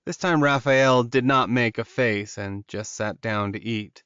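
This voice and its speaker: A man narrates a fantasy romance audiobook in a medium-pitched voice. The delivery is scripted storytelling, and the recording is dry and close. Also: a little slow, a bit bright, smooth, no echo, muffled recording.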